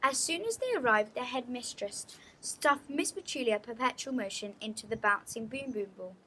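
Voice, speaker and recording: A woman reading a children's book aloud as an expressive storytelling narrator. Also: a little high, moderate pace, a little hiss or hum, rough recording.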